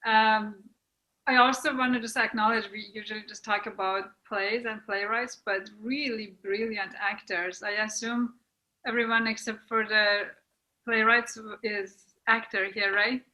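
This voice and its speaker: Bright-voiced woman giving measured feedback. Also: mid-range voice, steady tone, rough recording. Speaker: discussion participant giving feedback. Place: indoor video call. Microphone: computer video call.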